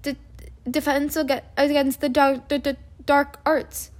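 A woman's voice: high voice, level tone, measured pace, pitched above the performer's own voice. A woman voicing a timid, nervous young male professor with a heavy stammer, muttering in a storybook reading.

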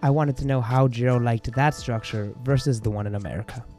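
A young man hosting a student podcast, reading scripted linking narration in a measured way. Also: mid-range voice, mellow, faint music behind. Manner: measured, reads linking lines. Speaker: host.

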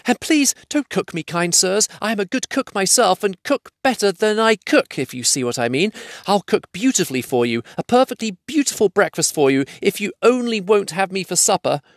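A man's voice: sits high, lively intonation, normal speed, no echo, studio quality, pitched above the performer's own voice. A man voicing a small, frightened male hobbit in a storybook, polite, flustered and breathlessly pleading.

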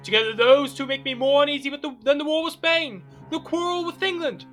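A man's voice: very high voice, brisk, fairly bright tone, soft background music. An actor playing a petulant, imperious young king in an audio drama, whiny and theatrical. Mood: outraged, petulant. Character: petulant, excitable young French king, easily outraged.

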